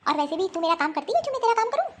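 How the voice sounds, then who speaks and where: A woman's voice: very high-pitched, very animated, rapid-fire, slight background noise. A woman playing a quarrelsome young elder daughter-in-law who answers back sharply and rudely. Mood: defiant. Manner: sharp, defiant, rude retorts. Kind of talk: homemade family skit acting.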